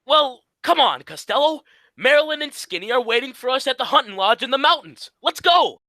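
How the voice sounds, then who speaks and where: A man's voice: very high-pitched, very animated, brisk, pushed and bright, exaggerated voice. A man playing the exasperated, scolding straight man of an old radio comedy duo, in a 1940s radio acting style. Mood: impatient. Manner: scolding, insistent.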